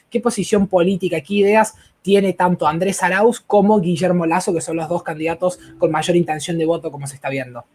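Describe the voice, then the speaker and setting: High-voiced Argentine male host. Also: darkish tone. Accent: Argentine Spanish. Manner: rambling with fillers and restarts. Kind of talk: casual interview talk.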